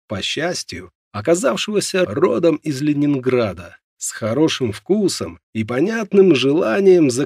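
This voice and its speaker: A male narrator reads a scripted audiobook with lively, varied intonation and an unhurried pace. His voice has a gravelly creak and a slightly husky edge, and his phrasing is measured and clear. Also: fairly high pitch, no room sound, studio quality.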